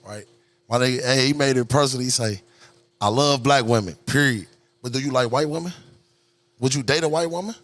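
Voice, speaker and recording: A man's voice: mid-range voice, a little fast, long pauses, a bit bright. Hyped, rambling podcast host, a man. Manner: hyped, slangy, rambling with many fillers. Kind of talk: casual podcast interview.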